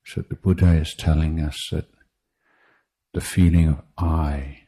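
A man teaching meditation in a guided talk, speaking in measured short phrases with deliberate pauses and a husky voice. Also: a bass voice, steady tone, warm tone. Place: meditation retreat.

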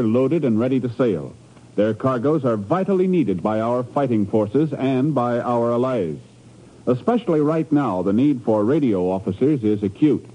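A man announcing in formal, emphatic scripted 1950s radio style. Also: mid-range voice. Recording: muffled old radio broadcast.

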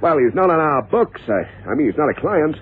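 Small-time gumshoe, glib and chatty, very animated, narrow old radio recording. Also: male voice, on the high side, a little fast, breathy edge, heavily acted. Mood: businesslike. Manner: glib, colloquial. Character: chatty, small-time wisecracking male private investigator. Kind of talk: 1970s radio drama acting.

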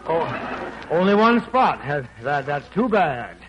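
An actor playing a sly, slick-talking folksy riverboat card gambler in a 1940s radio drama. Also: male voice, fairly high pitch, very animated, takes it slowly, highs cut off. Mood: sly, confident. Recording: noisy old 1940s radio recording.

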